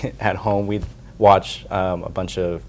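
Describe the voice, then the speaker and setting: A young American man who works as a senate staffer, answering interview questions in an earnest, grateful way, often pausing with fillers. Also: low voice, level tone, a little hiss or hum. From United States. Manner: soft-spoken, earnest, with frequent fillers and restarts. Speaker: senate staffer for community outreach.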